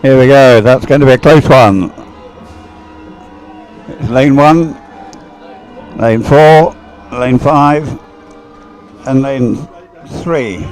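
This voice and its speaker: A man's voice: mid-range voice, extremely slow, pauses often, a little mellow, faint music behind, rough recording. An older man giving live commentary on a boat regatta, chatty and hesitant, often drifting into rambling asides. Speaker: sports commentator, former umpire.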